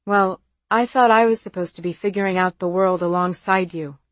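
A woman voicing a concerned young woman in an audiobook, earnest, coaxing and gently persistent. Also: medium pitch, moderate pace, no echo, voice pushed up, natural voice. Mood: hurt, challenging. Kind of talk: audiobook character voice.

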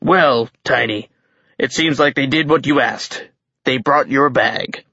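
A man's voice: medium pitch, slow, sharp tone, husky, no room sound, lo-fi sound, exaggerated voice. A man voicing a commanding giant king in a fantasy audiobook, genial, showy and slyly menacing. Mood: amused.

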